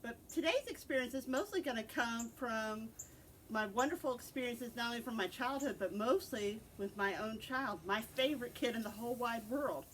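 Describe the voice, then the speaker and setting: A middle-aged woman giving a conversational book talk as a librarian, in an encouraging, gently prompting manner. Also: fairly high pitch, normal speed. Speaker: librarian and co-host recommending books. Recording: distant mic with loud background noise.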